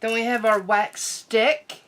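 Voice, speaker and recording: A young woman chatting casually through an unboxing while sick, her voice nearly gone, excitable and full of ums. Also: on the high side, takes it slowly, a little hiss or hum. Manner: excitable, many ums and trailing asides. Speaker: unboxing vlogger.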